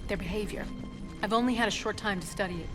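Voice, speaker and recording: A woman plays an earnest female researcher in a sci-fi video game. She explains hesitantly, sounding shaken, in a mid-range voice with clear music behind it. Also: medium pitch, quick pace, close to an everyday voice. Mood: fascinated, earnest.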